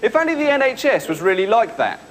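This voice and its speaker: A man's voice: high voice, very animated, fast. Dry-voiced male comedy presenter. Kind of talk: topical stand-up links in a sketch show. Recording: live studio audience with noise.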